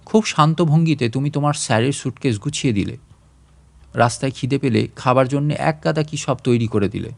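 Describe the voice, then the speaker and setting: A man reading a literary story aloud as a narrator, in an expressive, reflective and measured first-person delivery. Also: mid-range voice, gravelly.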